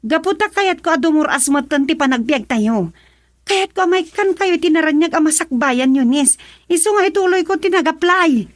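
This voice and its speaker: A woman's voice: sits high, expressive, normal speed, no echo, clean studio sound. A woman with an Ilocano accent playing an earnest, self-sacrificing middle-aged mother in a radio drama, hesitant and pleading.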